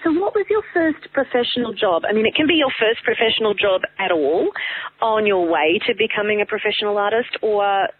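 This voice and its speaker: A woman's voice: medium pitch, animated, brisk, slightly rough. An enthusiastic Australian woman hosts an interview and asks her guest questions. Her voice sounds tinny, as if it comes through a telephone. There is almost no room echo. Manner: upbeat, enthusiastic. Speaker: podcast host. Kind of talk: interview hosting. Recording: tinny phone line.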